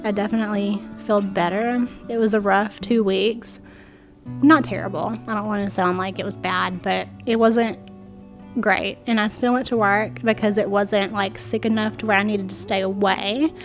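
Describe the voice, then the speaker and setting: A woman's voice: mid-range voice, fairly even intonation, normal speed, soft background music. Chatty woman with a stuffy nose. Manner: chatty and friendly, talks straight to viewers. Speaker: home decor vlogger. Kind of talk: voice-over narration. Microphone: voice-over mic.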